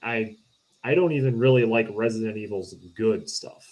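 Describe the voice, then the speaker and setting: A man in a casual movie review panel, speaking in a halting, hedging way as a co-host. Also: on the low side, a little mellow.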